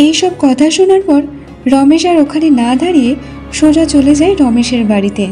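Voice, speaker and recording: A woman's voice: high voice, fairly quick, natural voice. A woman narrating a cartoon folk tale and voicing its village wives. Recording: music behind the voice.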